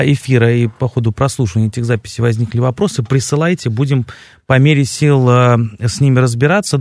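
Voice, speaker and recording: A man's voice: medium pitch, a little mellow, no room sound. A man hosting an educational radio talk show in a studio, speaking with frequent hesitations and filler sounds. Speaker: radio host. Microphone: studio microphone.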